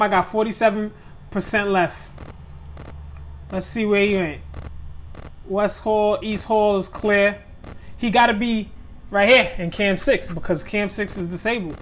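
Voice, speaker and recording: A man's voice: high-pitched, a bit bright, slight background noise. A young man casually narrating his first run of a horror game, joking, swearing and talking straight to his viewers. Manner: loose, joking trash talk with slang and swearing. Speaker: gaming video creator. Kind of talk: live gameplay commentary.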